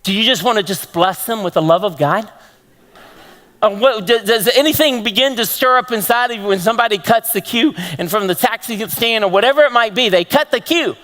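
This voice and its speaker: A man with a Texan accent preaching a lively sermon to a congregation, throwing out questions to the room. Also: sits high, a little fast. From Texas. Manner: animated, rhetorical questions to the congregation. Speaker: pastor. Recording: long hall reverb.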